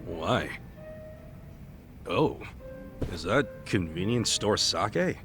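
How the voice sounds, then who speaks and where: A man's voice: deep voice, expressive, extremely slow, over music, exaggerated voice. An actor with an American accent playing an earnest, easygoing, streetwise man in a video game, talking casually and bluntly. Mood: curious, teasing. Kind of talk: dubbed video game cutscene acting.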